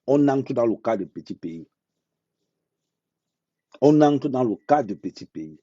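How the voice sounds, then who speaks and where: A man speaking bluntly in an unscripted live monologue, repeating phrases for emphasis and talking slowly and deliberately. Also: mid-range voice, lively intonation, dark tone, slightly rough, no room sound. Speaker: social media commentator. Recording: dull lo-fi dry sound.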